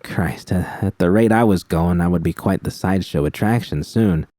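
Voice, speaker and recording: A man with a low voice reads first-person fiction in a steady, plain manner. His tone is mellow and the recording sounds dry and close. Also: normal speed, no room sound. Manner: steady, plain reading with frank profanity. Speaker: audiobook reader.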